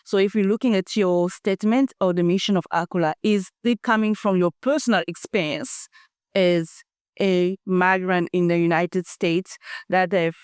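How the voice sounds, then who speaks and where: A woman's voice: lowish voice, a little mellow, smooth, dry recording, studio quality. A woman hosting a podcast interview, who asks long questions and switches between English and French. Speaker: podcast host.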